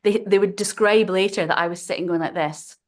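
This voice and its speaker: A woman's voice: mid-range voice, a little fast. Chatty woman telling a story. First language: not Swedish. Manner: chatty storyteller, digressive, laughs often. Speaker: guest writer. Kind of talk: casual interview conversation.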